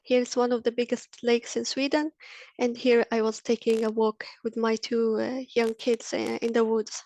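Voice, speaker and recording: A woman's voice: a little high, little pitch movement, moderate pace, a little mellow. A woman in her thirties from Egypt, a university lecturer, giving an earnest webinar presentation with frequent ums and uhs. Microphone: video call.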